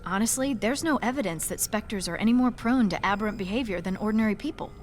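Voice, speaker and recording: A measured, analytical female scientist, voiced by a woman, with a mid-pitched voice. She explains things calmly and precisely, with faint noise underneath. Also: lightly acted. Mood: serious. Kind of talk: video game dialogue.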